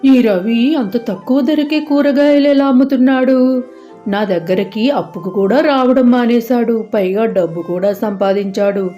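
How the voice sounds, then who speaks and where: A woman's voice: fairly high pitch, measured pace, mellow, soft background music, close to an everyday voice. A woman playing a greedy, suspicious male moneylender with a prying, scheming manner in a children's cartoon story. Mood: suspicious, envious.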